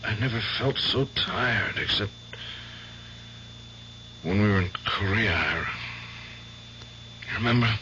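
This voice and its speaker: A bitter, exhausted ex-soldier in a radio play, intense and challenging. His low voice moves slowly with a hard, forceful edge. The old recording is dull. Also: a little hiss or hum. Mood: exhausted, pleading. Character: bitter, obsessed, exhausted war veteran piano player seeking justice. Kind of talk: 1970s radio drama acting.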